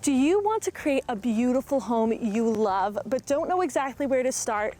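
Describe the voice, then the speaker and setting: A woman speaking as the host of a home tour show, friendly and clear in her wording. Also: fairly high pitch, expressive, moderate pace, dark tone. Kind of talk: warm conversational interviewing.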